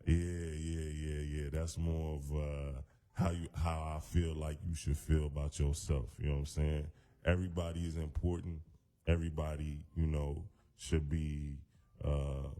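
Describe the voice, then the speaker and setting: Very deep-voiced laid-back rapper. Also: male voice, dark tone. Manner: laid-back, loose, many fillers. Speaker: hip-hop artist, guest. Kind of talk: casual radio interview. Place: radio studio.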